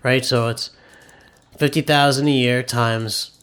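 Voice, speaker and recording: A man's voice: medium pitch, fairly even intonation, unhurried, fairly bright tone, smooth. A man with a nasal voice co-hosting a podcast, explaining finances in an enthusiastic, opinionated casual way. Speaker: podcast co-host, author.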